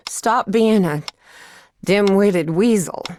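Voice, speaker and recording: An actress playing a wary young woman held for questioning in a dramatic video game, halting but defiant. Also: medium pitch, a little mellow. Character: defiant, shaken young female captive under interrogation.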